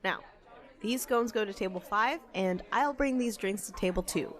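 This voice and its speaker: A woman's voice: medium pitch, moderate pace, a bit bright, lightly acted. A woman playing a playful, sarcastic young barista in an audio drama, with cafe chatter behind her. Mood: exasperated, sarcastic.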